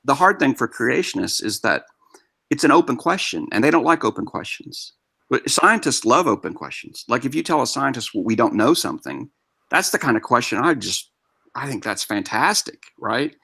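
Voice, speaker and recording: A man's voice: mid-range voice, big pitch swings, normal speed, vocal fry, bright, forceful tone, husky, no room sound, studio quality. A man explaining ideas eagerly in a casual online discussion. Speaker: debate guest.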